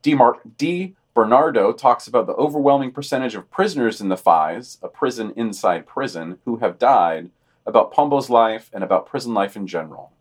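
A man's voice: medium pitch, animated, moderate pace, slightly rough. Man reading news with dry asides. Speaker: podcast host reading weekly news.

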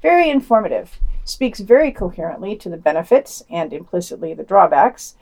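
A woman's voice: on the low side, very animated, a little fast, darkish tone, low-quality recording. A woman reading a scripted podcast news roundup, dropping in casual, playful and wry asides.

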